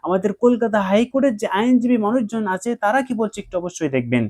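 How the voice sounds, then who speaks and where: A man's voice: high voice, big pitch swings, fast, a little mellow. A man giving explanatory commentary to viewers, emphatic and repeating his points.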